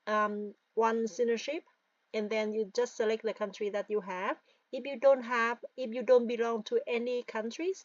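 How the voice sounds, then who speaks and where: A woman with a Vietnamese accent leads a patient screen-recorded tutorial, pitched a little high and often checking in with her viewers. Her voice is dry and close, with a slightly dull, muffled sound. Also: no room sound. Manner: frequent "all right?" and "guys", patient step-by-step. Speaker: tutorial presenter. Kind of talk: screen-recorded form walkthrough.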